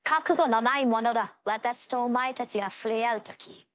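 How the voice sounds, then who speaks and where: A proud young ice-wielding swordswoman from an anime fighting game, acted by a woman. Her voice sits high for a female. She phrases everything in an old-fashioned, imperious way, stern and commanding. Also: moderate pace, close to an everyday voice. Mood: stern, resolute. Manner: archaic, haughty, commanding phrasing.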